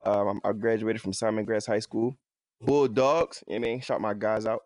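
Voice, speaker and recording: A man's voice: fairly low pitch, steady tone, moderate pace, a little mellow, no room sound, studio quality. A man from North Philadelphia with a Philadelphia accent, co-hosting a podcast in casual, slangy, self-deprecating chat. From North Philadelphia, United States. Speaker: podcast co-host.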